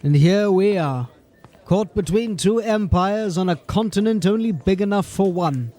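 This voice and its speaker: An actor playing a blunt, world-weary watch sergeant in an audio drama, plain-spoken and grim. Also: male voice, high voice, unhurried, mellow. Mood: steady, grim.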